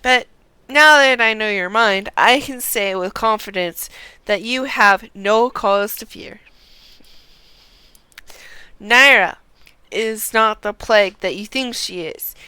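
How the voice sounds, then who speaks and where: Casting: a woman plays assured guild comrade in a game cutscene, reassuring, deliberate pace, crisp voice. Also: mid-range voice, bright, forceful tone, no echo, low-quality recording. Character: confident, reassuring martial-arts guild comrade.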